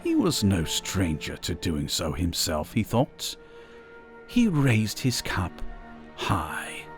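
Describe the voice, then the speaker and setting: A man's voice: sits low, wildly swooping pitch, slow pace, faint music behind. A man narrating a fantasy audio drama in a scripted storytelling style.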